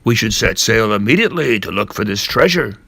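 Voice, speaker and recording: A man's voice: fairly low pitch, lively intonation, a little fast, creaky, a bit bright, no echo, studio quality, heavily acted. An older man voicing a decisive, formal village squire in a storybook reading. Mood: eager. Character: decisive village squire, eager to set sail.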